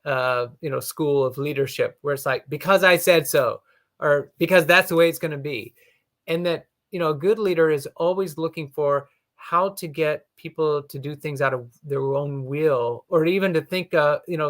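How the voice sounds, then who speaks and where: A man explaining leadership lessons in a casual, thoughtful way, often pausing with "you know". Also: a little high, a bit bright.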